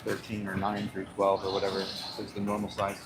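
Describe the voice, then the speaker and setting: A man co-hosting a live travel vlog, talking in a relaxed, rambling way full of fillers. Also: low voice, a little fast, noisy background. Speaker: vlog co-host. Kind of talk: casual live-stream chat. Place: restaurant at breakfast with crowd around. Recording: busy crowd chatter behind.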